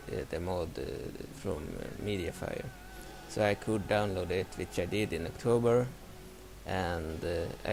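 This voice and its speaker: A man's voice: deep voice, creaky. A man from Sweden with a Swedish accent, a non-native English speaker, talking casually about a game with frequent hesitations and fillers. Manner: hesitant, many fillers and restarts. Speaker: let's play creator.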